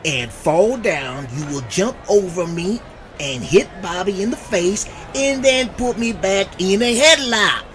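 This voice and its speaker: An actor playing a terse, exasperated pro wrestler calling out moves in an audio drama. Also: male voice, high-pitched, animated, unhurried, a bit bright. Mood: pissed off.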